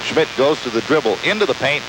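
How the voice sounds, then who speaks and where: A man's voice: mid-range voice, animated, fast talker. Guy doing radio basketball play by play, mid voice, short descriptive bursts, lots of tape hiss. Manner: clipped, descriptive phrases following the action. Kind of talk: live basketball play-by-play commentary. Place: courtside in a large sports dome. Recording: hissy, noisy amateur tape of radio broadcast.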